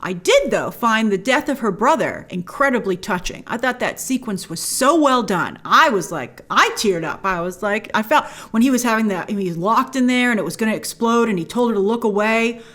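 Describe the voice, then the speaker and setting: Emphatic high-pitched woman reviewing. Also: lively intonation, normal speed, few pauses, fairly bright tone. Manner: emphatic, gushing in praise, stresses key words. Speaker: host of a film review show. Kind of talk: casual opinionated review commentary.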